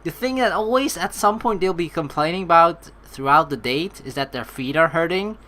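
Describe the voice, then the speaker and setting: A young woman doing let's play commentary, reading game text aloud in an animated, playful, blunt and casual way. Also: lowish voice, moderate pace.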